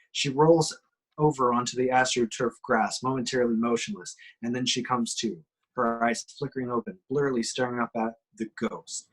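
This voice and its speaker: A man's voice: medium pitch, fairly bright tone, slightly rough, poor audio. A man giving emphatic, suspenseful scripted narration as he reads action descriptions at an amateur table read.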